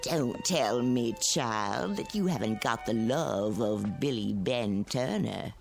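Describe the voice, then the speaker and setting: A woman's voice: rumbling low, expressive, slow, gravelly, soft background music, exaggerated voice. An actress playing a sly, mocking old backwoods witch with a Southern US accent and a taunting drawl in a radio drama. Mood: sly, knowing.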